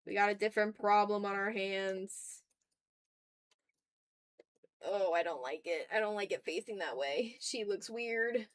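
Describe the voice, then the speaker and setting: A woman's voice: mid-range voice, a little slow, pauses often. A woman livestreaming as she draws, chatting casually with viewers and muttering to herself as she talks through each step. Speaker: art streamer. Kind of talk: casual livestream chat while drawing.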